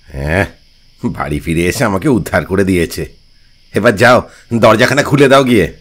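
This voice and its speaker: Casting: gruff middle-aged father in audio drama, low voice, scolding and sarcastic. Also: animated, normal speed, fairly bright tone. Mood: sarcastic, annoyed. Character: grumbling older father, head of a village household.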